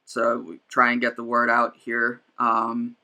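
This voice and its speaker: A man's voice: mid-range voice, monotone, unhurried, gravelly, pushed and bright. A man in his twenties hosting a casual podcast chat, hesitant with many fillers. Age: 20s. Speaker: podcast host.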